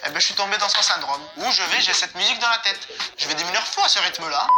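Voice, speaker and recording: A man's voice: high-pitched, fast talker. A young man hosting comedic commentary on a music video in a slangy, teasing, mock-analytical manner. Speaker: host.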